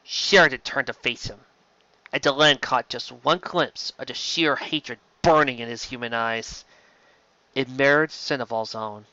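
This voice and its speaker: Bright-voiced man narrating dramatic fiction. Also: medium pitch, steady tone, measured pace, dull audio. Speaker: amateur audiobook reader.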